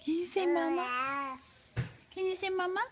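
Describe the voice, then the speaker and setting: A woman's voice: very high voice, flat delivery, normal speed, background noise. A woman talking playfully to a baby, cooing and repeating short phrases.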